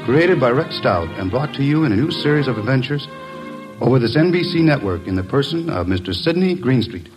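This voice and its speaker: A man's voice: mid-range voice, animated, brisk, over music, low-quality recording. A male announcer introducing a 1940s radio drama in a grand, declamatory style.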